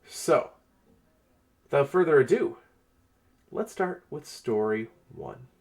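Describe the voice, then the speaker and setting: A man's voice: a little high, very animated, slow. A man giving a casual, conversational update as the host of a tabletop gaming channel.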